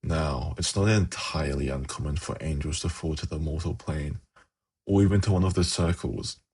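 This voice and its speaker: Man acting a very deep, gravelly demon prince. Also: darkish tone, heavily acted. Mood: soft, coaxing. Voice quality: gravelly, husky. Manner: measured, formal, silkily condescending. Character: suave, haughty demon prince of Hell, coolly seductive. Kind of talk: ASMR fantasy roleplay.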